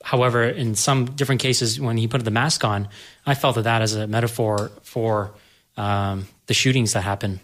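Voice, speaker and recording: Male panel guest, low voice, casual explanatory film talk. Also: steady tone, normal speed, fairly bright tone.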